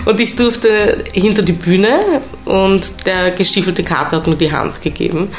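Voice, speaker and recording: A woman's voice: on the low side, steady tone, measured pace, faint music behind. A woman with an Austrian accent, a guest in a studio interview, chatting effusively and wandering off into digressions. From Vienna, Austria. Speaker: interview guest, stage performer. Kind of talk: casual conversational storytelling. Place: radio studio.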